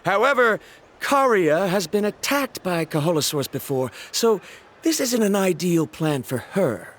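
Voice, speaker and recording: Young game scholar: stiff, formal, impatient, higher-pitched with lively inflection. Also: male voice, measured pace, slight background noise, full character voice. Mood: insistent. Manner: formal, precise, serious. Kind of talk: video game character acting.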